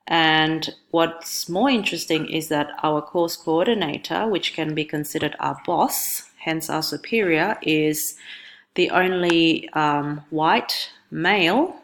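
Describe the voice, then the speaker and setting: A young woman whose first language is Indonesian presents her assignment in a deep, low register. She speaks carefully and in a measured way, pausing on ums and correcting herself now and then. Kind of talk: recorded class presentation.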